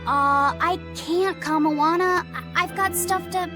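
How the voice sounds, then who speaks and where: A woman voicing a kindly, hesitant, soft-hearted young boy in a video game. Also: extremely high pitch, steady tone, unhurried, over music. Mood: reluctant.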